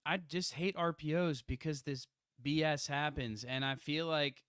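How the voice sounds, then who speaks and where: A young man co-hosts a casual sports podcast and rambles through his points with restarts and sudden bursts of excitement. His voice is smooth and clear. The sound is dry and close to the mic. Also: on the high side, unhurried, no echo. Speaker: podcast co-host.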